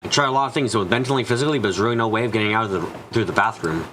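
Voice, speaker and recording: Man acting an anxious, bright-voiced trapped narrator. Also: lowish voice, rapid-fire, slight background noise, lightly acted, rough recording. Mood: uneasy, brooding. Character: anxious trapped male narrator, frightened and increasingly desperate. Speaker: voice actor narrating. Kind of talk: first-person dramatic narration, fan dub of visual novel.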